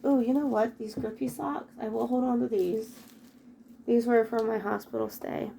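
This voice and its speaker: A woman's voice: on the high side, steady tone, normal speed, gravelly, warm tone, a little hiss or hum, poor audio. A woman with a head cold chatting casually while she tidies up her bedroom, rambling and breaking off mid-thought. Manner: rambling, chatty, self-interrupting. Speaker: vlogger. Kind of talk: casual chat while tidying. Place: bedroom at home.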